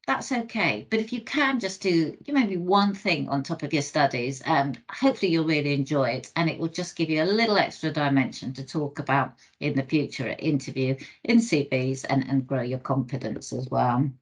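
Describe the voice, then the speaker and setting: Woman, low voice, careers adviser hosting a video call, reassuring. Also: slightly rough. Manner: warm-toned, reassuring, halting with fillers. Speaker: careers specialist. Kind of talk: online panel moderation.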